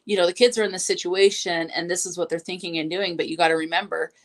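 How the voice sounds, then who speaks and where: A woman's voice: on the low side, fairly even intonation, fast talker. A woman co-hosting a casual book discussion, speaking in a tentative, reflective way. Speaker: co-host.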